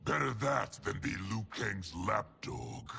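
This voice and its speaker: An actor playing a proud, warmongering general in a video game, forceful, boastful and contemptuous. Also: male voice, on the low side, animated, slow, gravelly, faint music behind, exaggerated voice. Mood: defiant, scornful. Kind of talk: dramatic video game villain acting.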